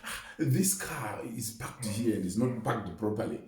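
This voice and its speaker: A man's voice: on the low side, animated, normal speed, a little mellow. A man giving a conversational motivational talk, illustrating his points with everyday examples. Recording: echoey room, distant mic, music underneath. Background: music.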